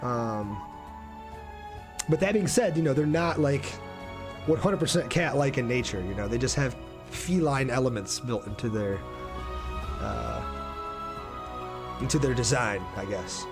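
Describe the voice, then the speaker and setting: A man's voice: mid-range voice, sing-song, unhurried, long pauses. A young man giving casual, enthusiastic livestream commentary on a game and chatting informally with his viewers. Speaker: game streamer. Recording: game music behind the voice. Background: game music.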